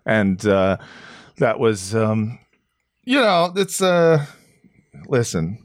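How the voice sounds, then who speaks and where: A man's voice: on the low side, sing-song, extremely slow, no room sound, clean studio sound. A man as a podcast guest, sarcastic and rambling, with lots of fillers. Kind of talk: casual podcast chat.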